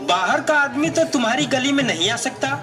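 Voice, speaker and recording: Male actor as cheeky young villager, lively swooping pitch, teasing, puppet drama, music behind. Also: brisk. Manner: teasing, sarcastic.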